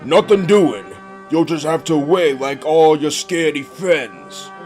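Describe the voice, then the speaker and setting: Smug, slow-talking steamroller played by a man. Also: fairly high pitch, darkish tone, faint music behind, natural voice. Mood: scornful. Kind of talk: fan comic dub acting.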